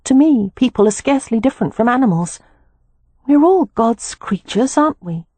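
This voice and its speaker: A woman's voice: fairly high pitch, lively intonation, moderate pace, mellow, no room sound, voice pushed up. A woman voicing a frank, earnest young woman who loves animals, speaking in a matter-of-fact way.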